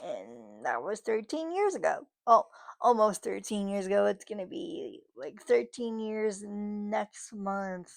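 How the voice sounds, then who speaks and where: A woman's voice: medium pitch, sing-song, slow pace, creaky. A woman in her thirties talking casually to her camera, rambling with lots of fillers and restarts. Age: 30s. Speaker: vlogger.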